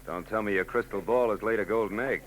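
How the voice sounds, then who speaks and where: An actor playing a sardonic hardboiled private detective who also narrates, in a 1940s radio drama. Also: male voice, lowish voice, fast talker, slight background noise, close to an everyday voice, low-quality recording. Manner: dry, sardonic. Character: wisecracking hardboiled male private eye.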